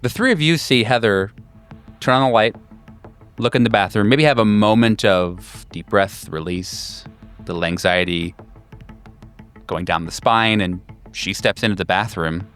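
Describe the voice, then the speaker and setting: A man narrating a horror tabletop game as game master in a measured, deliberate way. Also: lowish voice, fairly bright tone, faint music behind.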